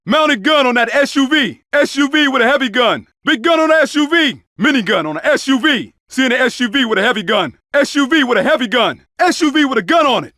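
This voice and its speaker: A man's voice: extremely high pitch, sharp tone, no echo, clean studio sound, full character voice. A man playing a tough undercover police officer in a video game, barking urgent, clipped orders and combat callouts. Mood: alert, urgent.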